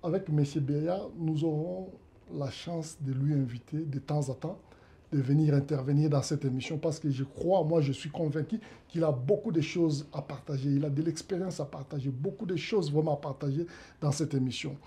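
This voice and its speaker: A man's voice: a little high, moderate pace, warm tone. A man hosting an interview show, with formal, emphatic introductions. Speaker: talk show host.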